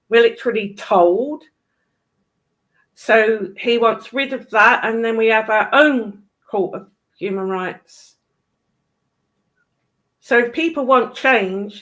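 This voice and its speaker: A woman's voice: on the high side, fairly bright tone, rough recording. A British woman in casual political chat, speaking in a blunt, opinionated way with some swearing. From United Kingdom.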